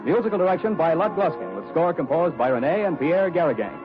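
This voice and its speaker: A man's voice: a little high, very animated, fairly quick. A male radio announcer reading a commercial and closing credits in a polished, emphatic salesman style. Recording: muffled vintage radio broadcast with faint music.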